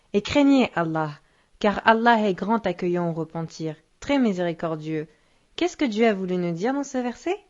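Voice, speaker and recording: A woman acts as a solemn religious teacher in a children's cartoon. She explains patiently in a mid-pitched voice. The soundtrack is slightly dull and muffled. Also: darkish tone, lightly acted.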